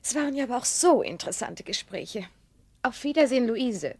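A woman's voice: on the high side, big pitch swings, a little fast, a little mellow, husky, dry recording, exaggerated voice. A woman playing an elegant, scheming, sarcastic girlfriend in a radio play. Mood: piqued, sarcastic.

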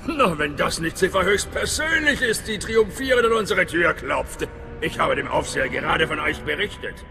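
An actor playing a talkative, self-satisfied imperial station chief in a sci-fi game, with a theatrical manner. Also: male voice, on the high side, expressive, normal speed, creaky. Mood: pleased, effusive. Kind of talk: dramatic sci-fi game dialogue. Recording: long reverberant hall, music behind.

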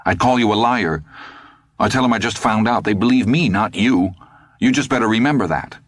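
Man voicing scared angry teen boy, high and fast, defiant, dry recording. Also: no echo, lightly acted. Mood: agitated.

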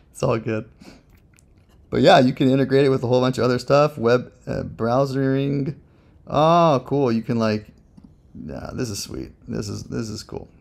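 A man talks through a software review in a fairly low voice with plenty of gravelly fry. He thinks aloud casually, using slang and dry jokes in a laid-back, unscripted way.

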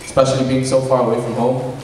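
Young man from American Samoa, mid-pitched, upbeat emcee, hesitant fillers, booming hall, far-off mic, background noise. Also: monotone, fast talker, darkish tone. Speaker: co-emcee of a student cultural show. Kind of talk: casual stage hosting. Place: large event hall on a stage. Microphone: handheld mic heard through hall speakers.

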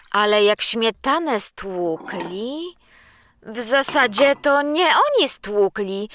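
An actress playing Santa's homely, no-nonsense wife in a children's audio play. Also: female voice, fairly high pitch, fairly bright tone, exaggerated voice.

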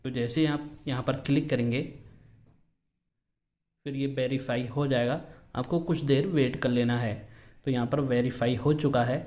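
Man, medium pitch, tutorial host, step-by-step phone instructions, repetitive explaining. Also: steady tone, normal speed, long pauses, a little mellow, lo-fi sound. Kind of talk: instructional phone app walkthrough.

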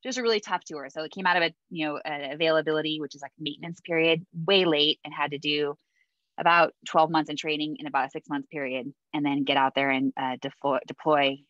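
Low-voiced woman hosting a video call. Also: a bit bright, no echo. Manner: chatty, many fillers, laughs easily. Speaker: host of a Navy mentoring video call. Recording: dull dry video-call audio.

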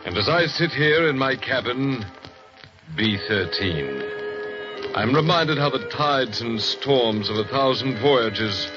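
An actor playing a ship surgeon who narrates eerie tales in a 1940s radio drama, measured and brooding, on a dull old broadcast with music. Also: male voice, mid-range voice, expressive.

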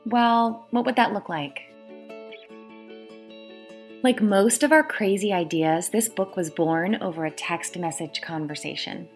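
A woman's voice: mid-range voice, measured pace, faint music behind. A woman who is an author, reading her own book aloud as scripted narration.

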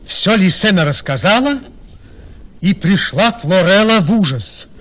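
A man narrating a radio play in measured storytelling. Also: high voice, expressive, a bit bright, a little hiss or hum.

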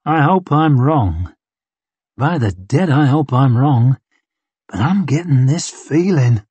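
A man voicing a grim, bearded male warrior in an audiobook, speaking quietly and deliberately with slow menace. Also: mid-range voice, expressive, slightly rough, no room sound. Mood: quietly menacing. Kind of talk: audiobook character dialogue.

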